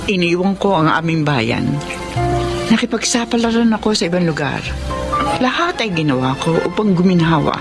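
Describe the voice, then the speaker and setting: A woman's voice: on the low side, normal speed, over music. A woman with a Filipino accent playing a generous, lonely older woman in a radio drama. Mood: wistful. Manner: friendly, expressive.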